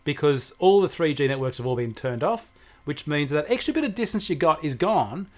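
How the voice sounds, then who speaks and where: An Australian man with a voice pitched a little high talks as a technology commentator on a regular show. He explains things conversationally and with emphasis, often stacking repeated phrases to make a point. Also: animated, brisk.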